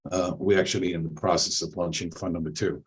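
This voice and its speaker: A man from Israel speaking as a panel guest over a video call, relaxed and conversational with many fillers. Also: low voice, quick pace, slightly rough. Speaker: panel guest, angel investor.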